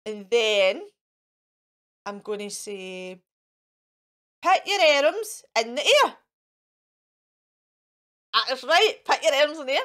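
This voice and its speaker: High, animated Scottish woman hosting for children. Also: slow pace, pauses often. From Scotland. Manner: exaggerated, sing-song, coaxing with questions and encouragement. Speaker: children's storytime host in character as a fairy. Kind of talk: playful children's presenting to camera. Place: indoors in front of a fairy backdrop.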